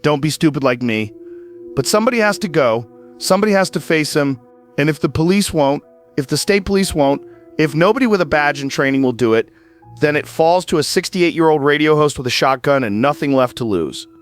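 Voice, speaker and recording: A man's voice: fairly high pitch, expressive, brisk, lightly acted. A man playing a 68-year-old small-town radio host in an audio drama, solemn and fatalistic, delivering a plain-spoken emergency broadcast monologue. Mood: grimly determined. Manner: solemn, resolute, plain-spoken short declarations. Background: music under the voice.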